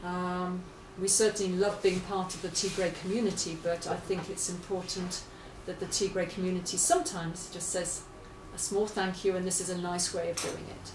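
A woman's voice: lowish voice, little pitch movement, moderate pace, few pauses. Woman interviewed in an echoey, noisy room. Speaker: honorary award recipient, education charity worker. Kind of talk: interview answer. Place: small indoor room with whiteboard. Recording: roomy, noisy, slightly distant mic.

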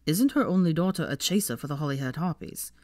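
A smug, mocking young wizard from a storybook, put on by a woman. He teases drily in a low voice, recorded dry and close. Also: animated, fast, darkish tone, no echo. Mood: dryly mocking. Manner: dry, teasing.

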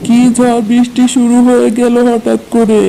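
Grumbling cartoon husband, exasperated, with a mellow, slightly high voice. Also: female voice, completely flat delivery, moderate pace, creaky, slight background noise, poor audio. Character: grumbling lazy village husband. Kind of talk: cartoon dubbing.

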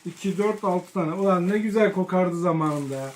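A young woman with a fairly low voice chats casually at home, quizzing and teasing a child as she presents things for the camera. Faint background noise and small clicks sit behind her. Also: a little fast. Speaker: family vlogger showing school supplies.

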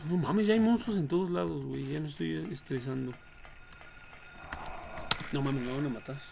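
Gravelly young Mexican man streaming a game. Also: fairly high pitch, normal speed. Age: young adult. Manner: slangy, foul-mouthed, reacts out loud. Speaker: livestreamer. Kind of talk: casual horror game livestream commentary. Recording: noisy home livestream with clicks and typing.

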